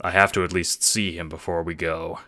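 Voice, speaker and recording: Man playing an earnest, deep-voiced middle-aged father. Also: little pitch movement, normal speed, sharp tone. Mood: worried. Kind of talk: audio drama acting.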